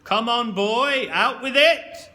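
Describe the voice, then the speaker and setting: A man's voice: sits high, unhurried, exaggerated voice. A man voicing a stern, bullying old male schoolteacher with a military manner, barking orders. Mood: barking, menacing. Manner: barking, shouted commands.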